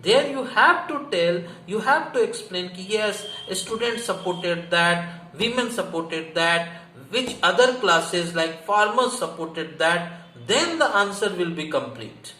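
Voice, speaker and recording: A man's voice: high voice, animated, slow, hardly any pauses, sharp tone, faint music behind. A man with an Indian accent teaching an exam prep lesson, explaining emphatically and didactically, often repeating key phrases and asking rhetorical questions. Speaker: history teacher. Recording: echoey room, faint background noise.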